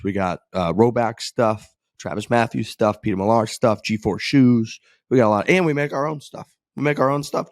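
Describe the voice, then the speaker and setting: Low-voiced guy hosting a golf podcast, chatty, repeats himself a lot, dry close sound. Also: a little mellow, no echo. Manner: loose and chatty, piling up repeats. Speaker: podcast host. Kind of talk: casual chat and ad read.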